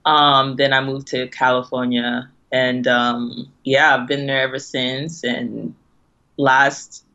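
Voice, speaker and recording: A woman's voice: extremely low pitch, level tone, takes it slowly, sharp tone, muffled recording. A young woman in her twenties from Ghana, a musician answering interview questions hesitantly, filling her answers with "like" and "you know". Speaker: musician guest.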